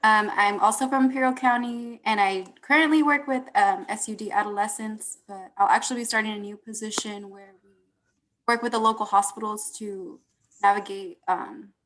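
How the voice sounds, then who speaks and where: A woman's voice: medium pitch, moderate pace, fairly bright tone, poor audio. Woman doing a friendly eager intro about her sud work. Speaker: substance use worker. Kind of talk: self-introduction.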